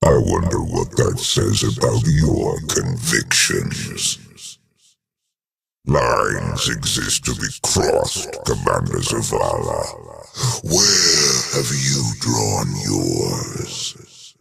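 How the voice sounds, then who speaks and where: A menacing old spectral emperor in a video game, gloating and grandiose. The voice is extremely low and full of gravel, drawn out very slowly with a roomy echo. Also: male voice, lively intonation, a bit bright, full character voice. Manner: grandiose, taunting, drawn-out delivery. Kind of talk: theatrical video game voice acting.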